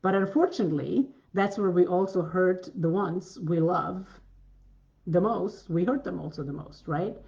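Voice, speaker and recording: A woman with a deep voice giving a coaching masterclass, earnest and repetitive, often restarting her sentences. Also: normal speed, gravelly, dark tone, muffled recording. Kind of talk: masterclass talk.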